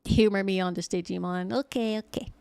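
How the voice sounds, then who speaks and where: A woman's voice: medium pitch, fairly even intonation, brisk, gravelly, a little mellow, clean tone. A woman game streamer giving casual, joking and rambling live commentary. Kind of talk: casual chatty livestream commentary.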